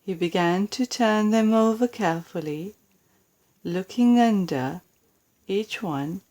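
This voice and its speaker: A woman with a British accent narrating a children's storybook expressively, with deliberate dramatic emphasis on key words. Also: mid-range voice, dark tone. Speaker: storybook reader.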